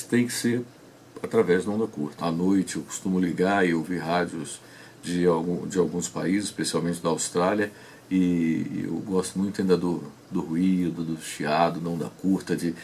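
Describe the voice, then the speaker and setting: A man speaking as a radio listener in an interview, reflecting on his experiences and haltingly searching for words. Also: deep voice, darkish tone, a little hiss or hum. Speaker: shortwave listener being interviewed. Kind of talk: reflective personal recollection.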